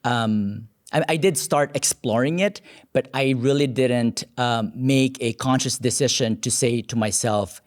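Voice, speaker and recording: A man's voice: medium pitch, a little slow, hardly any pauses. A young man from the Philippines, with Filipino as his first language, thoughtfully telling his personal story as a podcast guest. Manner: earnest, thoughtful, often says "you know".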